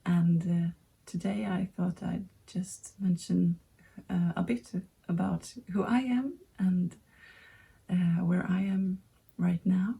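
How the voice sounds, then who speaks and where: Slow, gravelly-voiced Swedish woman. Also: lowish voice, fairly even intonation, dark tone. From Sweden. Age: 30s. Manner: hesitant, many pauses and fillers. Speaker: knitting podcast host. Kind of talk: casual chat to camera.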